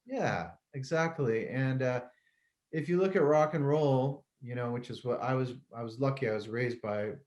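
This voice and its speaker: A man's voice: mid-range voice, moderate pace, darkish tone, lo-fi sound. A middle-aged man with a mid-range voice, talking casually as a guest musician. He rambles in a loose, easygoing way and laughs easily between thoughts. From Connecticut, US. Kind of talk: casual interview chat.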